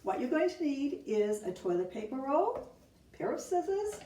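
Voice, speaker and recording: A woman's voice: high voice, very animated, normal speed. A woman teacher giving simple step-by-step craft instructions to young children. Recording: roomy, distant mic, faint noise.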